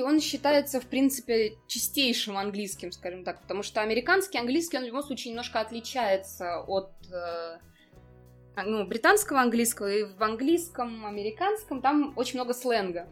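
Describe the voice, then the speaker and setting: A woman's voice: on the high side, animated, a little fast, faint music behind. A young woman in a casual conversation, explaining at length with lots of fillers. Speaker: interview guest.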